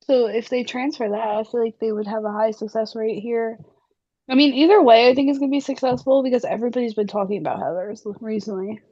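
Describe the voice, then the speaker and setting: A young woman co-hosting a casual chat, chatty and giggly, her voice a little hoarse. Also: on the high side, warm tone, no echo, muffled recording. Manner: giggly, chatty, drawn-out fillers. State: slightly hoarse. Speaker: podcast co-host.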